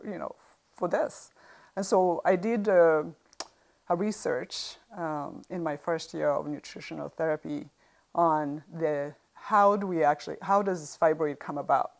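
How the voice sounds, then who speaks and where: A middle-aged woman with an East African accent shares her story in an interview. Her voice sits very low and crackles with vocal fry. She speaks conversationally, stopping often to find her words. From Uganda. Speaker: interview guest sharing a health experience. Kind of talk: conversational personal testimony.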